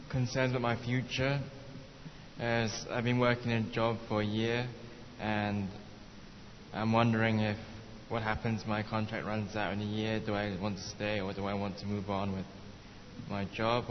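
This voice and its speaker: Flat-toned young man from Hong Kong. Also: on the low side. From born in Hong Kong, later lived in England. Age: young adult. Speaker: participant introducing himself. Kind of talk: informal self-introduction to a group. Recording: dull, hissy, echoey hall recording.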